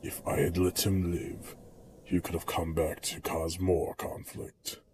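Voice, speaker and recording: A man's voice: sits low, little pitch movement, a little slow, a little mellow, slight background noise. A man playing a cold, proud and merciless ninja assassin in an audio drama, stern and menacing. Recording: echoey room, music behind.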